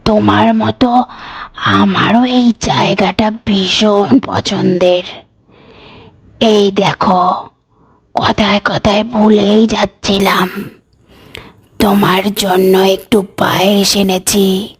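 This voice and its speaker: Husky, very slow old woman, acted by a woman. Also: mid-range voice, little pitch movement, exaggerated voice. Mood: eerily coaxing. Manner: slow, insistent grandmotherly coaxing. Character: eerie old village woman, coaxing yet stern.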